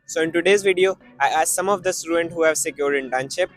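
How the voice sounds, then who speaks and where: A man's voice: on the high side, fast, faint music behind. A man hosting interviews with students, asking short, direct questions. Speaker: interviewer host. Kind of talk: street interview.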